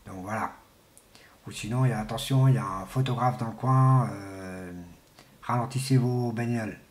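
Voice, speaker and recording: Halting, informal man in an echoey room. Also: medium pitch. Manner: halting, colloquial, repeats himself for emphasis. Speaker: amateur CB radio enthusiast presenting. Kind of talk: informal unscripted explainer to camera. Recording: echoey, roomy sound.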